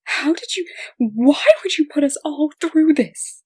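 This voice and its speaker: A woman playing a brisk, sardonic official in a comic dub, with a dry, pointed delivery. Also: sits high, animated, no echo. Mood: shocked, upset.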